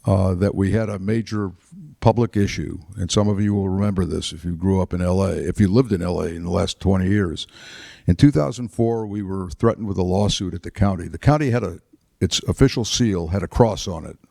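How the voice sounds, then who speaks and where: A man in his seventies, a retired politician and author, tells stories about his life to an audience in a chapel, halting with fillers and restarts. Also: deep voice, vocal fry, mellow, no echo, clean studio sound. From United States. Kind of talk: relaxed storytelling talk.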